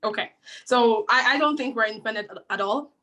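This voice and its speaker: Bright, emphatic woman from Nigeria. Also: fairly high pitch, a little fast. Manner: emphatic, repeats words for stress. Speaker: panel guest. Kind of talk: discussion.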